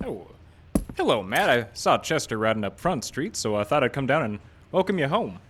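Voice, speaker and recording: Radio western doc man acting: friendly, chatty, earnest, mid-pitched male voice. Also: sing-song, moderate pace, background noise, close to an everyday voice. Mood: chatty, concerned. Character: friendly, chatty frontier town doctor, a man. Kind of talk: live old time radio western acting.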